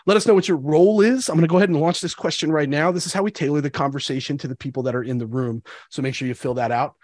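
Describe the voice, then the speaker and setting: A man hosting a live sales webinar, sounding stuffy from a cold and pitching with energetic hype. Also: rapid-fire, no room sound, clean studio sound. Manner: energetic, hyped promo delivery. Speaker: show host.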